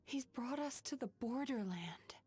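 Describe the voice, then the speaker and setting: A woman voicing a calm, caring young dream healer in an anime-style video game, soothing and composed. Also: a little high, a little slow, breathy edge, no room sound, full character voice. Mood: grave, explaining.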